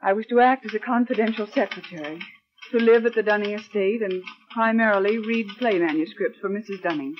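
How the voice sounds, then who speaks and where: An actress playing a shaken woman secretary giving an earnest, halting statement in a 1940s radio drama. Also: medium pitch, close to an everyday voice. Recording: muffled, noisy 1940s radio recording.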